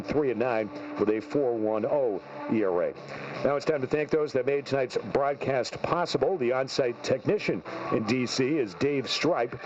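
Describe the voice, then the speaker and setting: A man hosting a radio post-game sports show, reading recaps and sponsor messages in broadcast style. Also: mid-range voice. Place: radio studio. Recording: dull radio broadcast, clearly noisy.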